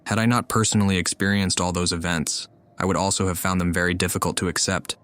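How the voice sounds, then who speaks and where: A woman's voice: extremely low pitch, steady tone, fast talker. A woman game streamer reading out dialogue and reacting during a playthrough. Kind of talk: game playthrough, voicing lines and commenting.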